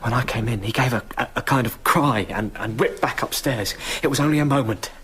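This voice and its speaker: An actor playing a frightened, loyal servant in a radio drama, speaking with deferential, hushed urgency. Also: male voice, medium pitch, fairly quick, breathy edge. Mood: fearful.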